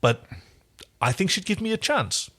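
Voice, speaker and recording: A man's voice: sits high, big pitch swings, normal speed, a bit bright. A man hosting a casual TV discussion podcast, playful and prompting his co-host along.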